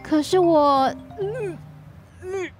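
An actress playing an anxious young wife in a radio drama. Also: female voice, very high-pitched, extremely slow, soft background music, heavily acted. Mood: panicked, anxious. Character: anxious young wife, tipsy, later hungover and fretful. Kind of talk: Mandarin radio drama acting.

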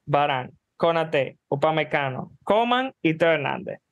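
A man co-hosting a football podcast, speaking in a calm, measured way and calmly correcting his co-host with facts. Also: a little high, muffled recording. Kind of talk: casual football chat.

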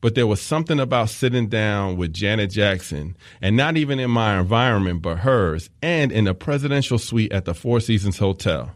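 A man's voice: lowish voice, expressive, moderate pace, hardly any pauses, no room sound, clean studio sound. A man hosting a podcast, telling a story in scripted narration with enthusiastic, candid asides. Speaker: podcast host.

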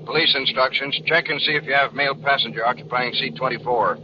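An actor playing a businesslike airport tower radio operator, clipped and official, in 1940s radio style. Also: male voice, mid-range voice, fairly even intonation, quick pace, lightly acted. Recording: noisy, dull old radio transmission.

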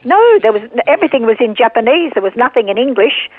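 A woman in her sixties with an Australian accent, a guest telling her story earnestly and unhurriedly, with pauses. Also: on the high side, animated, no room sound. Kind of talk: casual interview storytelling. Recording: tinny phone line.

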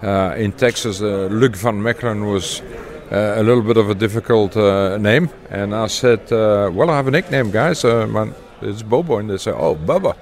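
Older Belgian man, halting and low. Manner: halting, many uhs, chuckles. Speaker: guest. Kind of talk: casual interview chat.

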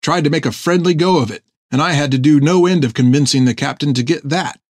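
A man voicing a gruff, earnest sailor sergeant in an audiobook, talking in folksy seafaring dialect to old mates. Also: on the high side, fast, no echo, clean studio sound, pitched above the performer's own voice, natural voice. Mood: exasperated. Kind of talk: audiobook character dialogue.

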